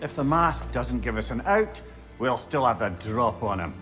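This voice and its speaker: An actor playing a jovial, learned old companion with a wry, hearty, wordy manner in a video game. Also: male voice, mid-range voice, expressive, moderate pace, fairly bright tone, faint music behind, close to an everyday voice. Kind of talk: video game cutscene acting.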